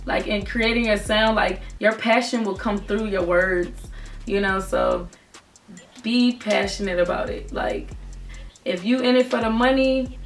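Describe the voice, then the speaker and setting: Raspy young woman, talking from afar. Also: medium pitch, a little slow. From Virginia, United States. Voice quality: naturally raspy voice. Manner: casual, earnest advice talk. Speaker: rapper and vlogger. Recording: voice from a distance with faint music.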